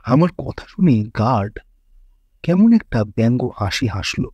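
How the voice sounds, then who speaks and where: A man's voice: mid-range voice, very animated, unhurried, dark tone, husky, dry recording, dull audio. A man reading a horror story as dramatic scripted narration.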